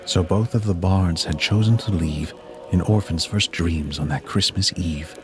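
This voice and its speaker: Very deep man narrating rhymed verse. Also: unhurried. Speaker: narrator of a Christmas poem. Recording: music bed under the voice. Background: music.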